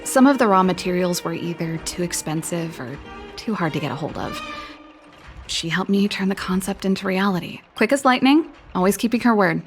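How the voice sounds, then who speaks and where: A woman voicing a spirited, wistful young elder sister who invents and plays music in a video game, with a breezy, expressive delivery. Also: on the low side, moderate pace, close to an everyday voice. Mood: nostalgic. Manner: breezy, expressive, with sighs and trailing reflection. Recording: studio voice over background music. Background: game background music.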